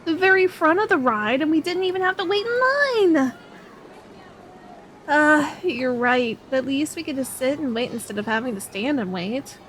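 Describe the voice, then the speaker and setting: Woman acting as a high-voiced, girlish best friend. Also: animated, moderate pace, a bit bright, slight background noise. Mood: excited. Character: excitable young woman on an outing with her best friend, sweet and easily discouraged. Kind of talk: ASMR roleplay audio drama addressed to the listener.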